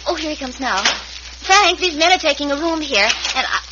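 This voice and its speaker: A woman's voice: sits high, expressive, moderate pace. An actress playing a kind, worried American frontier woman in a radio western, fretful and hesitant in manner. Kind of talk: 1950s radio western acting. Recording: noisy, muffled old radio recording.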